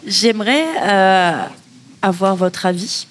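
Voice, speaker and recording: A woman host leads a panel talk with a mid-pitched voice. There is faint noise and some clicking behind her. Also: fairly even intonation, slow. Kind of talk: hosting a panel discussion.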